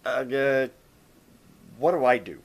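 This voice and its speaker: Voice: medium pitch, expressive, takes it slowly, a little hiss or hum, pitched above the performer's own voice. A gamer voicing an eager, awkward young student helper in a visual novel, often hesitant. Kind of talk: acted visual novel dialogue.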